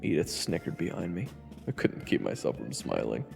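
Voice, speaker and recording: A man's voice: extremely low pitch, very animated, normal speed, gravelly, a little mellow, big-room sound, heavily acted. A man playing a weary adventurer who narrates his own journey in a scripted first-person fantasy story. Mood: weary, reflective. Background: background music.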